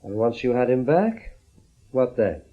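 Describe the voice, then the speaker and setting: A man's voice: mid-range voice, big pitch swings, a little slow, narrow, tinny audio. A man playing a defiant, sceptical Western intelligence officer in a radio drama, with a dry, terse, challenging delivery.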